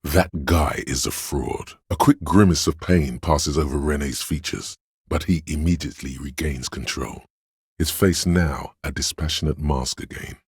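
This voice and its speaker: A man voicing a grim, wry inner voice of pain in a video game, narrating to the listener in a husky, deliberate, ominous way. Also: very deep voice, no echo, clean studio sound, heavily acted. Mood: dry, knowing. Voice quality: gravelly, husky. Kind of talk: scripted second-person game narration.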